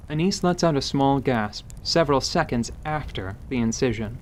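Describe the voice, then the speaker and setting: A man's voice: medium pitch, animated, moderate pace. Narrator, man, middle register, scripted storytelling for a fantasy radio play, faint background noise.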